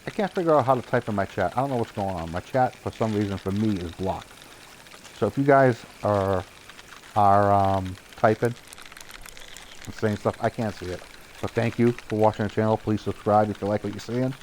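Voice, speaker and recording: Casual young male streamer, Boston accent, lowish pitch, chatty and excitable, faint background hiss. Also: moderate pace, rough recording. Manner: chatty, excitable at good catches, laughs often. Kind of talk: casual live gameplay commentary.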